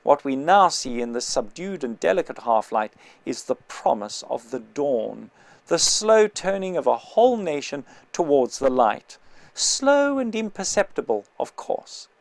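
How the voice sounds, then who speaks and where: A man's voice: a little high, very animated, a little slow, creaky, no echo, exaggerated voice. A man reading an audiobook, voicing a fat, cultured man who lectures with lofty condescension.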